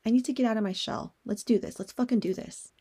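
A woman's voice: fairly high pitch, fast, dark tone, breathy edge. A young woman hosting a solo podcast, talking in a candid, personal way and swearing now and then. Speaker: podcast host.

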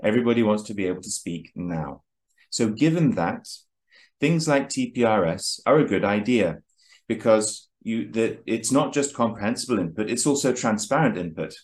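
A man hosting a chat, explaining ideas at length in a measured lecturing way before interviewing a guest. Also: fairly low pitch, lively intonation. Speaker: host.

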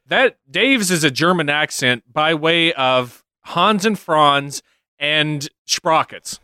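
Bright, crude joking man. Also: on the high side, expressive, slow, clear, resonant tone, no room sound, clean studio sound. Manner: crude, profane, teasing. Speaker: podcast co-host. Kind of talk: casual comedic banter.

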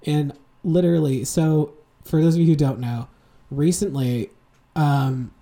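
Twenty-something guy co-hosting a podcast, mellow low-key tone, rambles a lot. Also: unhurried. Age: 20s. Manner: rambling, animated storytelling with many fillers. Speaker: podcast co-host. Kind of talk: casual podcast chat. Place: home room, seated with a heating pad.

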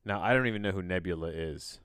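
Clear, low-voiced man rambling solo. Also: a bit bright, no echo, studio quality. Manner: halting, self-interrupting, often backtracking mid-sentence. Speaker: podcast host. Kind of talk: rambling solo podcast chat.

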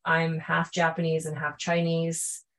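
A woman speaks as a podcast guest. Her answers are thoughtful and earnest, and she often pauses mid-sentence to find the right word. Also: sits low, monotone. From Canada. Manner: thoughtful, earnest, searching for words. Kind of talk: reflective interview answers.

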